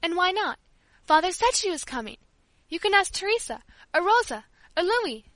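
A woman reading aloud plays an impulsive little girl who rushes her words in eager excitement. The voice sits very high and bright, recorded close and dry like a storybook audiobook. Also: dry recording. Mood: excited. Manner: eager, breathless, pleading. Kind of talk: audiobook character voice.

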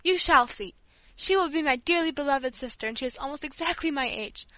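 A woman voicing an impulsive, excitable young girl in a storybook reading, talking eagerly and pleading. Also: high voice, a little fast, rough recording. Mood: excited. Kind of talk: audiobook character voice.

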